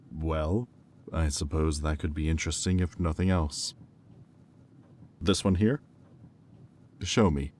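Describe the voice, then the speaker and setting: A man voices a haughty demon with an extremely low voice and a slow, deliberate delivery. He is sardonic and condescending, in the manner of an audio roleplay villain, over faint background noise. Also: a little mellow, heavily acted. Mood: amused disbelief. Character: haughty, sardonic male demon bidder at auction.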